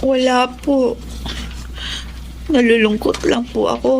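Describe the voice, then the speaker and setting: A plaintive young blind girl in a radio drama. She speaks slowly and respectfully in a high voice, with faint noise in the background. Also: fairly even intonation, creaky. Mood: sorrowful. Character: sad blind girl in her late teens, polite student. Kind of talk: Filipino radio drama acting.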